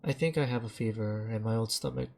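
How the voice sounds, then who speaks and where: A man narrating game text aloud in a dramatic, halting way, stumbling over some words as he reads. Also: on the low side, gravelly, darkish tone.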